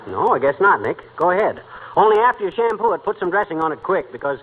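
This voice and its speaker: American barbershop customer, folksy, easily flustered, highish voice, 1940s radio comedy, narrow hissy broadcast sound. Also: male voice, big pitch swings, fast, slightly rough, full character voice. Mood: hesitant, folksy.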